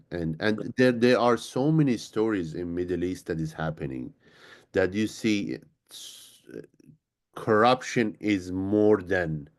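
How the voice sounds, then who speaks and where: Man, interview guest, very low voice, earnest, halting delivery. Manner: earnest, halting, repeats words. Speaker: guest, executive director. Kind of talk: interview answers.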